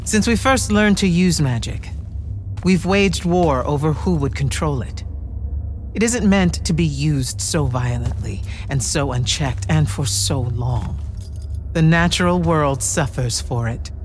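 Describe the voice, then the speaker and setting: Grave woman commander in a fantasy game, slow formal speech, music behind her. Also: sits low, expressive. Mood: grave, solemn. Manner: formal, deliberate, oratorical. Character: commanding female military leader of mages, grave, measured. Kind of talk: cinematic video game acting.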